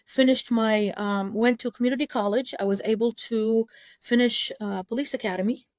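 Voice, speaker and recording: A woman in her forties from Iraq, a lawyer telling her life story as a podcast guest in an earnest, measured way with frequent pauses. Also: a little high, fairly even intonation, darkish tone, no room sound, clean studio sound. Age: 40s. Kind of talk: interview storytelling.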